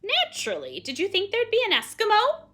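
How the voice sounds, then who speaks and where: Woman voicing a bossy, scornful talking pet bird, loud. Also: high voice, huge pitch swings, voice pushed up, exaggerated voice. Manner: brisk, exasperated, repeats the ends of phrases.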